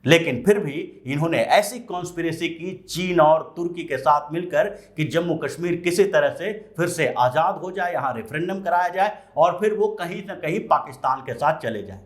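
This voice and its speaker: A man's voice: a little high, lively intonation, normal speed, few pauses. A man delivering explanatory political commentary as a news commentator, sometimes posing rhetorical questions.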